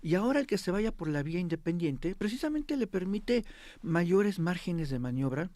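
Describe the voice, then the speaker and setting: A man with a slightly high voice, recorded close and dry in a studio. He gives expert commentary in an explanatory, emphatic way and often checks that he is being followed. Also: big pitch swings, moderate pace, darkish tone, dry recording. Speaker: expert guest. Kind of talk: interview commentary on politics.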